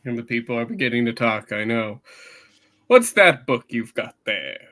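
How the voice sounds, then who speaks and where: A man playing a kindly, authoritative superintendent of angels in a comic radio play reading, with a measured, halting delivery. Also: mid-range voice, big pitch swings, sharp tone. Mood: kindly, brisk.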